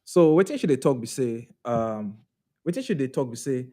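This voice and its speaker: A man co-hosting a live podcast, telling stories in animated, repetitive bursts. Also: medium pitch, normal speed. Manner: animated storyteller, repeats words. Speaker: podcast co-host. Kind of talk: casual chat, live podcast.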